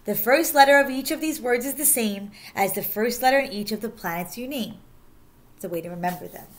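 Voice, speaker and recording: A woman teacher reading a rhyming children's picture book aloud in an animated, sing-song way, stressing key words. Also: a little high, brisk.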